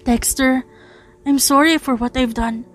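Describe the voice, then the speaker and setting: A woman's voice: high voice, steady tone, slow, soft background music. A woman voicing an earnest, remorseful young female reporter in a dramatised romance audiobook. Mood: sad, apologetic.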